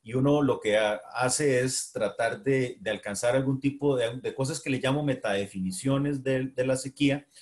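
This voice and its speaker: Costa rican guy lecturing, middle-aged, lots of ums and restarts, average pitch. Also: normal speed, few pauses, a little mellow. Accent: Costa Rican Spanish. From Costa Rica. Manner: hesitant, many fillers and restarts. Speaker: university lecturer. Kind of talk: academic lecture.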